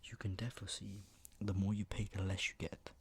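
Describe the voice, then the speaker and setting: A young man speaking in a soft ASMR half-whisper, unhurried and rambling, with a slightly sore, flu-worn voice. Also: lowish voice, little pitch movement, husky. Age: young adult. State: mildly sick, recovering from flu, sore voice. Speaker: ASMR video host. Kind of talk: ASMR, soft-spoken product show-and-tell. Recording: noticeable background noise with clicks.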